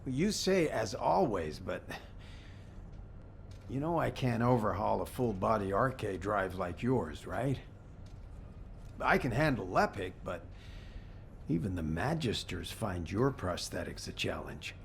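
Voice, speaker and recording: A gruff game mechanic who grumbles but cares. His voice is lowish and gravelly, and he takes his time. There is a faint noise behind his dry, familiar talk. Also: sing-song, measured pace, pauses often, heavily acted. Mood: exasperated concern. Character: gruff, reluctant but caring male mechanic and gunsmith. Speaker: voice actor in a video game. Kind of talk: game cutscene dialogue.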